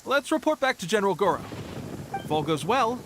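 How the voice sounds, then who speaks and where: A man's voice: high-pitched, very animated, fairly quick, noisy background. A man playing an eager, idealistic young resistance recruit in a video game, keen and earnest. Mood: eager, upbeat. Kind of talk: scripted video game dialogue.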